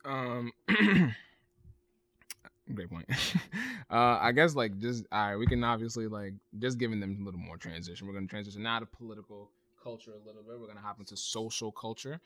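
A man's voice: lowish voice, fairly quick, a bit bright, no echo. A young man hosting a casual podcast, hesitant and filling his talk with "um" and "like". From United States. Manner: hesitant, many "um" and "like" fillers. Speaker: podcast host. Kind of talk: casual chat.

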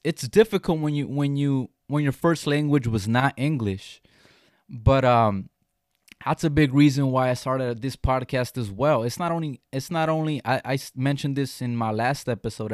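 A man's voice: mid-range voice, moderate pace, no echo, studio quality. A young man whose first language is Spanish, hosting a casual podcast chat in an earnest, emphatic way with frequent restarts. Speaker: podcast host.

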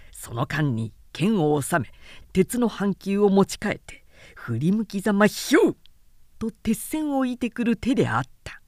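Slow, expressive woman narrating a dramatic tale. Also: mid-range voice, no echo, studio quality. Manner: measured, theatrical storytelling. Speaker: narrator reading literature aloud. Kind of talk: dramatic scripted literary narration.

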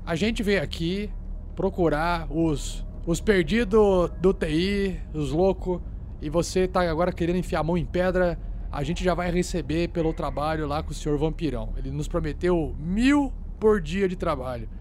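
Man, higher voice, tabletop RPG player, slangy scolding banter, faint background noise. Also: moderate pace, darkish tone. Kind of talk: in-character tabletop roleplay, casual.

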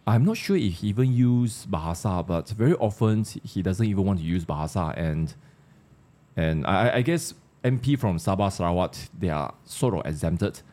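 A man's voice: sits low, animated, moderate pace, dark tone. A man with a Malaysian accent hosting a casual panel discussion, conversational and putting questions to his co-panelists. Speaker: discussion host.

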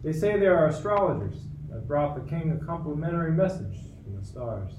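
A roomy, slightly distant radio play recording. A man acts a fussy, fawning courtier with a fairly high voice, formal and anxiously obsequious. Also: expressive, a little fast, close to an everyday voice. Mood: gossipy, worried. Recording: roomy, distant mic, faint noise.

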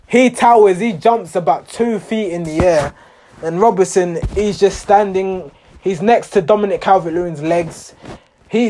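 A man with a hoarse voice recapping a football match as a passionate, exasperated fan, often repeating himself. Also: high-pitched, a little mellow, a little hiss or hum.

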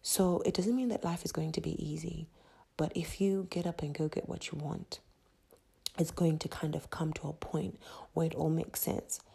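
A woman from Zimbabwe hosting a solo motivational podcast. She talks in an emphatic, repetitive, preachy way with a breathy voice. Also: sits low, steady tone, normal speed, dark tone. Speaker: podcast host.

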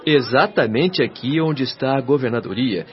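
An actor playing a devoted, solemn resident of a spirit colony, formal and didactic in radio soap style. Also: male voice, mid-range voice, lively intonation, normal speed, some chatter behind, a little hiss or hum, lightly acted. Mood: admiring. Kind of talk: Brazilian radio soap acting. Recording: dull radio drama with music behind.

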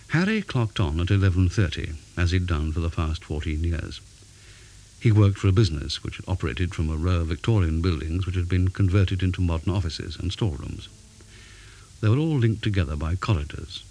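A man reading a scripted ghost story in a measured, dramatic way, pausing for effect. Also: low voice, a little mellow, low-quality recording. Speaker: story reader. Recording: faint background hiss.

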